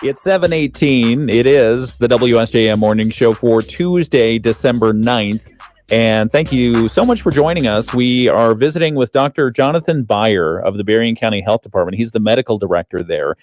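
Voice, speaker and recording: A man's voice: mid-range voice, moderate pace, darkish tone, soft background music. A man hosting a radio morning show in the studio, interviewing a guest informally with many restarts. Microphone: studio microphone.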